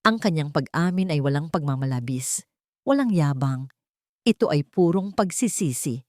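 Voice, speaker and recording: A woman's voice: low voice, animated, normal speed, darkish tone, dry recording, studio quality. A woman narrating a scripted first-person story in an earnest, dramatic storytelling manner.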